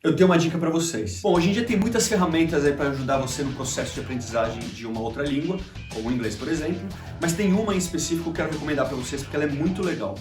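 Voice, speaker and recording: A Brazilian man hosts a vlog in a chatty, conversational way, full of little fillers. He talks quickly, and his voice sits a bit high. He is recorded from a little way off in an echoey room, with faint music under him. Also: far from the mic. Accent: Brazilian Portuguese. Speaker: YouTube channel host. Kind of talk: casual talk to camera. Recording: roomy echo, faint music behind.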